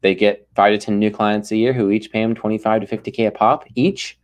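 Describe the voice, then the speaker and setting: Energetic, emphatic man as interview guest. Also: lowish voice, a little fast. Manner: energetic, emphatic, acts out quotes. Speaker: ghostwriter guest. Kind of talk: podcast interview.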